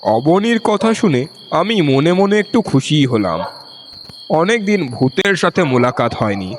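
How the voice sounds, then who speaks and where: A man's voice: a little high, big pitch swings, a little slow, slight background noise. A man narrating a scripted first-person ghost story in a storyteller's style.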